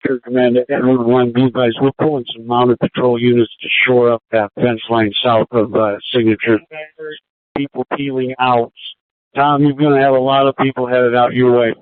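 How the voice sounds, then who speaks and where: A man's voice: medium pitch, little pitch movement, moderate pace, no room sound. Man on muffled police radio. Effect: police two-way radio. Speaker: police command radio operator. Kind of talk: terse operational radio traffic.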